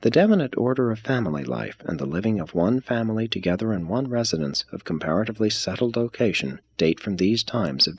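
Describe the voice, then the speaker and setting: A man reading a religious text aloud as scripted narration, in a measured, formal manner. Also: fairly low pitch, breathy edge. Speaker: reader.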